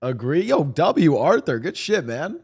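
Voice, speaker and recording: A man's voice: a little high, very animated, measured pace, slightly rough. A man live-streaming, chatting casually to his viewers and reacting to a clip, rambling and swearing freely. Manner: swearing, rambling, talks to chat.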